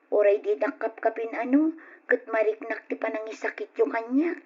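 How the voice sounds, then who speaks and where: A woman's voice: on the high side, normal speed, slightly rough. A woman playing a young, mistreated orphaned niece, plaintive and pleading, in old Ilocano radio drama style. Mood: sad, grateful. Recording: dull lo-fi old radio recording.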